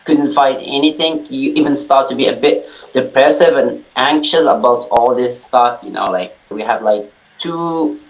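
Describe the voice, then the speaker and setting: A man's voice: mid-range voice, normal speed, roomy sound, rough recording. A man from Morocco on a video call, talking heartfeltly and searching for words. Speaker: interviewee.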